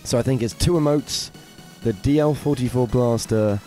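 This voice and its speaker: A man with a raspy throat, co-hosting a casual gaming news roundup with eager, filler-laden chat. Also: mid-range voice, normal speed, dark tone, soft background music. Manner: enthusiastic, chatty, hesitant fillers. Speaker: co-host of gaming news show.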